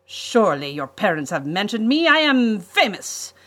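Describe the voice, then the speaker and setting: A woman voicing a pompous, menacing male villain, a vain botanist, in a storybook reading with slow, emphatic delivery. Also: mid-range voice, big pitch swings, bright, forceful tone, voice pushed up. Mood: boastful.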